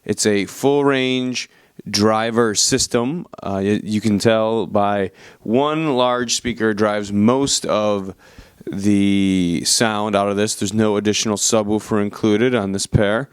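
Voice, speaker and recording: A man's voice: lowish voice, fairly even intonation, slow, clear, resonant tone. A man giving a casual voice-over product review, speaking as a reviewer to viewers.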